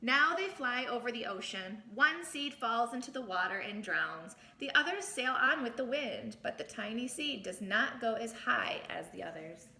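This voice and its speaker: A woman reading a storybook aloud to children in an expressive teacher's way, adding little asides, in an echoey room. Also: a little high, normal speed, vocal fry, few pauses. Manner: animated, exclaims and comments on the story.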